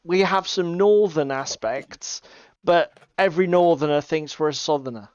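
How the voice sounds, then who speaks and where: A middle-aged Englishman from the East Midlands with a smooth, clean voice, slightly dulled by lo-fi audio and with very little room sound. He hosts a casual stream, greeting chat with swearing and mock exasperation. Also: fairly high pitch, moderate pace, dry recording. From East Midlands, England. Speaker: livestream host. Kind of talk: casual banter with live chat. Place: small indoor room at home.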